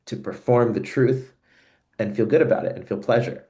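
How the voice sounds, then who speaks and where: A man hosting a reflective conversation over a video call, speaking in an earnest, searching and halting way. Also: mid-range voice, warm tone. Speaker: host.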